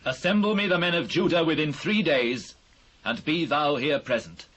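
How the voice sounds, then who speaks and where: British bible king, bit raspy, regal and bossy, formal old-time lines. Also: a little high, normal speed, a bit bright, poor audio. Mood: commanding. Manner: formal, archaic, declarative. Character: commanding Israelite king, decisive and authoritative. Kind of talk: dramatised scripture acting.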